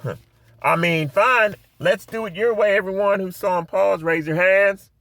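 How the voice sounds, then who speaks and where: A man acting as a loud, taunting interrogator. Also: sits high, moderate pace, gravelly, dry recording, voice pushed up. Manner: loud, taunting, confrontational, swears. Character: aggressive, mocking man interrogating another. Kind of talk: dramatic scene reading.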